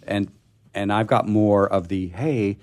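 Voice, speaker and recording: A man's voice: fairly low pitch, steady tone, slow, darkish tone. A man hosting a radio show in casual discussion, often repeating his words and phrases.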